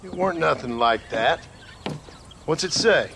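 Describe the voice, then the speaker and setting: An actor playing a plainspoken, laconic reformed gunslinger and husband with an American frontier drawl, in a video game. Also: male voice, fairly high pitch, expressive, unhurried, vocal fry, background noise. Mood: wry, defensive. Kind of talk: video game dramatic dialogue.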